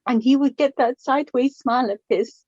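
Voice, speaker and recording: A middle-aged woman playing a chatty, wistful widowed mother of the bride in a naturalistic radio play, speaking in a halting, reflective, conversational way. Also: high-pitched, a little mellow, husky, no room sound, lo-fi sound. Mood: wistful, grieving.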